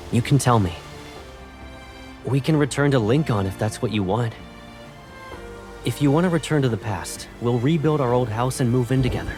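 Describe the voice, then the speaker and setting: An actor playing a possessive, protective young military colonel in a video game, speaking with controlled, intimate intensity. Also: male voice, medium pitch, darkish tone, lightly acted. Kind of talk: dramatic video game cutscene acting.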